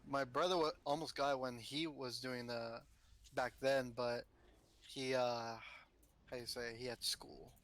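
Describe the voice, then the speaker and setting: A man in his twenties chatting casually with fellow players over voice chat, in a relaxed, halting way. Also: medium pitch, slight background noise. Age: 20s. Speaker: raid member on voice chat.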